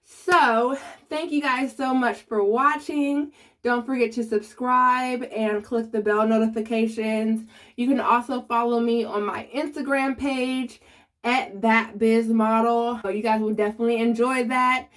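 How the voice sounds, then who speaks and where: Woman, vlogger, slight lisp, slow and easy pace, chatty to viewers. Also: fairly high pitch, fairly even intonation. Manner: chatty, addresses viewers directly. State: slight lisp from new aligners. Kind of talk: casual how-to vlog.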